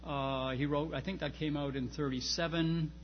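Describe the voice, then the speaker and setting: A man's voice: a little high, steady tone, moderate pace. A man giving an informal academic lecture, speaking in searching phrases with repeated starts and emphatic stresses. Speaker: lecturer on church history and theology. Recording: muffled, reverberant hall with background noise.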